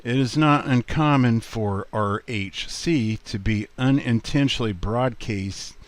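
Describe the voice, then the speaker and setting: A man giving a casual screen walkthrough in a halting, unhurried way, as a video presenter. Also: mid-range voice, dry recording.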